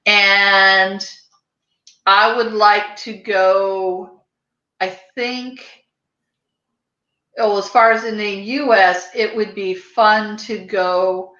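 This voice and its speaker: A woman's voice: mid-range voice, fairly even intonation, drawn-out words, pauses often, bright, forceful tone. An American woman hosting a podcast in casual, chatty, rambling conversation. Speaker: podcast host. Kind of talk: casual chat. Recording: roomy echo, dull lo-fi sound.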